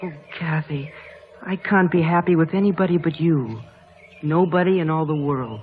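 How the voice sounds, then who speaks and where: A woman's voice: low voice, normal speed, lightly acted. A woman playing a proud, wounded, defiant orphan boy in an old radio drama. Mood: devoted. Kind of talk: 1940s radio drama acting. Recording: muffled radio broadcast with music.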